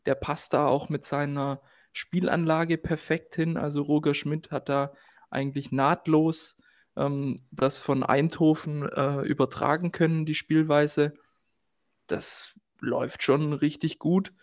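A man with a somewhat high voice co-hosting a casual football podcast. He talks through his assessment in a measured, analytical way, often pausing on fillers. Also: steady tone. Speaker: podcast co-host.

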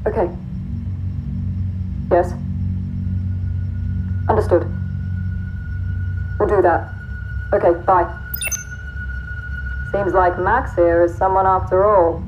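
A woman playing a brisk, businesslike guard in a sci-fi audio drama, speaking in a clipped manner. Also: fairly low pitch, fairly even intonation, music in the background. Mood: obedient, businesslike. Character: brisk, businesslike female guard reporting to her boss. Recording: narrow phone-like band, faint noise.

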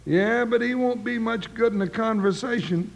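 An actor playing an excitable, good-natured cowboy sidekick with folksy drawling talk in a radio Western. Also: male voice, moderate pace, creaky, exaggerated voice. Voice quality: high-pitched voice. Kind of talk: 1950s radio Western acting. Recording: noisy vintage radio recording with clicks.

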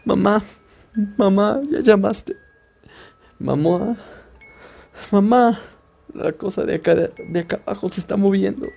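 A man voicing a frightened young woman who pleads and stammers in panic, in a gravelly recorded horror story. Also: high voice, measured pace, pauses often, dull audio, heavily acted. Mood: panicked.

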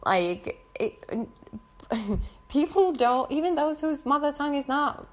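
A woman's voice: high voice, lively intonation, slow pace. Young woman, non-native english speaker, casual podcast host, chatty, lots of filler words. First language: not English. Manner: chatty, many "like" fillers, laughs often. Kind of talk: casual solo podcast talk.

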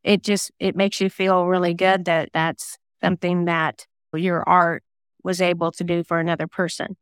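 Woman, smooth clean voice, mid pitch, dry close sound, earnest interview guest, reflective. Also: level tone, normal speed, no room sound. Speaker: guest, singer-songwriter and novelist. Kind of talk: conversational interview answers.

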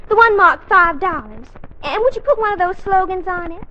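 A woman's voice: extremely high pitch, animated, brisk, bright, forceful tone, heavily acted. A woman playing a poised, airy teenage girl in a 1940s radio comedy. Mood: breezy. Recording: noisy muffled 1940s radio broadcast.

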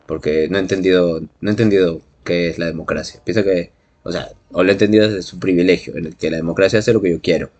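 A man's voice: low voice, fairly even intonation, fast, darkish tone. A man discussing ideas casually as a podcast co-host, argumentative and fond of questions. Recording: music playing behind the voice. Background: background music.

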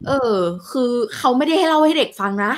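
A woman's voice: high-pitched, expressive, fast. A woman co-hosting a podcast, chatting casually in an explanatory, conversational way. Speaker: podcast co-host. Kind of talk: casual chat.

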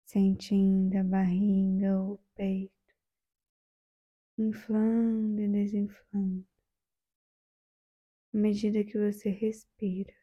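A woman with a Brazilian accent leading a guided meditation in a soft, soothing, gentle way, leaving long pauses. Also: medium pitch, little pitch movement, husky, muffled recording.

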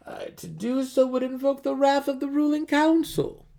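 A man voicing a sly, flamboyant male dark elf mercenary in a fantasy audiobook, with a theatrical, smooth-talking manner. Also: very high voice, fairly even intonation, moderate pace, a little hiss or hum, voice pushed up. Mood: hesitant, protesting.

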